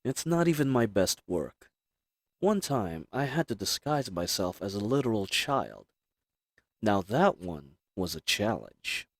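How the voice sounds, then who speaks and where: A man playing a cool, confident, flirtatious assassin in a roleplay audio drama, with a smug, teasing, measured delivery. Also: on the low side, big pitch swings, dry recording, studio quality, pitched below the performer's own voice, heavily acted. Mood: teasing, warning.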